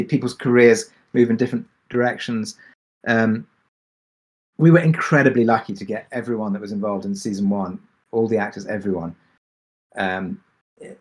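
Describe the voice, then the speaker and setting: A man answering casually and reflectively as a drama creator on a panel, hesitant and thinking aloud. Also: fairly low pitch.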